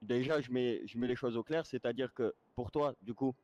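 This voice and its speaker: A man's voice: mid-range voice, moderate pace, a little mellow. A man moderating an online roleplay game, explaining casually and insistently, often repeating words. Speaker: game server staff moderator. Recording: dull lo-fi voice chat.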